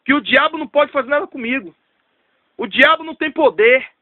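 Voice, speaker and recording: High-voiced preacher in his thirties. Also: a little fast, no room sound, phone-quality sound. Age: 30s. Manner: emphatic, repetitive, testimonial. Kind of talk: fervent storytelling sermon.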